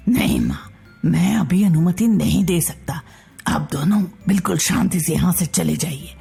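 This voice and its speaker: A woman voicing a firm, matter-of-fact husband and proud inventor in a measured manner for a story. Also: lowish voice, very animated, vocal fry, dark tone, husky, soft background music, pitched below the performer's own voice, full character voice.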